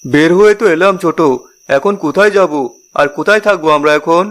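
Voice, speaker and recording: A man's voice: fairly high pitch, brisk, fairly bright tone, natural voice. A man voicing an earnest, responsible eldest brother from a village in a fairy-tale cartoon. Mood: worried. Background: music.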